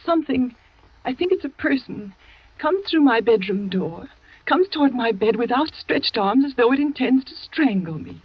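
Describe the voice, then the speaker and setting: A woman's voice: on the high side, big pitch swings, normal speed, slightly rough. An actress playing a frightened wife who believes someone is trying to kill her, speaking in an anxious, pleading old-time radio style. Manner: anxious, pleading, rises to panic. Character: frightened wealthy wife who fears murder. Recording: dull old radio recording.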